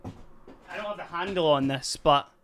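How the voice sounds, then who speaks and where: A live-streaming miniature painter chatting casually and giggling a lot while painting, a little hoarse from laughing. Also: medium pitch, measured pace. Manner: chatty, giggly, rambling, addresses chat.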